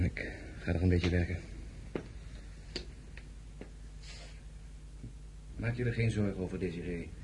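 An actor in a radio play as a blunt, stern French general and war minister, curt and formal. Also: male voice, low-pitched, background noise, heavily acted. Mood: calm, grim.

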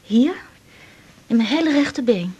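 Anxious husky high-voiced schoolgirl. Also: expressive, unhurried, mellow. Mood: anxious, hesitant. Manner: hesitant, stammering restarts. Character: anxious schoolgirl patient, polite and hesitant. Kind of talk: naturalistic 1980s radio drama acting. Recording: dull, muffled 1980s radio recording.

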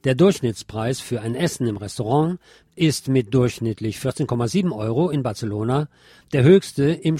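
A man presenting a scripted news magazine on the radio as narrator, with a husky voice. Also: mid-range voice, warm tone, no echo.

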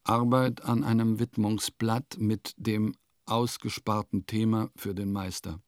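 An actor playing a vain, eccentric composer who muses theatrically and pompously in a radio play monologue. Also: male voice, fairly low pitch, little pitch movement, measured pace, no echo, clean studio sound, lightly acted. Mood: musing. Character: vain, eccentric male composer musing in his diary.

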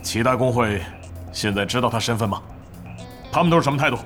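A man's voice: medium pitch, quick pace, fairly bright tone, soft background music, lightly acted. A man playing a stern, scheming middle-aged club manager in a Mandarin audio drama, commanding and interrogating. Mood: stern, alarmed.

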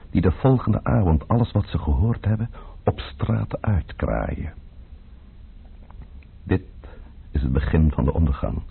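An actor playing a grave, ominous letter writer in a radio play, speaking in measured phrases with a gravelly, husky voice. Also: male voice, very deep voice, exaggerated voice. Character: grave male letter writer warning of revolution and plotting. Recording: dull lo-fi old radio recording. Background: faint music.

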